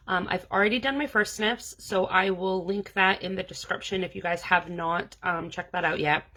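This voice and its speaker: Woman, lower-pitched but bright, congested from allergies; relaxed, rambling reviewer. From New England. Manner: chatty, many "um" and "like" fillers. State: stuffed up from allergies. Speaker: scent consultant. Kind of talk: casual product review.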